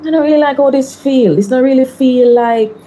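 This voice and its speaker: A woman in her thirties with a Jamaican accent, a politician answering interview questions in a measured, deliberate way. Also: high voice, dark tone, slight background noise. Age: 30s.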